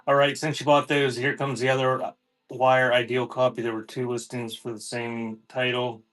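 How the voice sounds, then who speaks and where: A man's voice: medium pitch, little pitch movement, normal speed, a bit bright. A man hosting a live online auction, announcing items in an informal, off-the-cuff sales patter. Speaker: host of a live online auction selling cassette tapes. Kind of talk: casual livestream sales patter.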